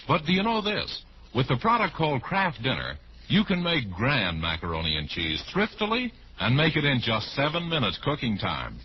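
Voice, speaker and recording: An American man announcing and reading ads in polished, salesmanlike 1940s radio style. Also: mid-range voice. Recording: muffled, faintly noisy old radio recording.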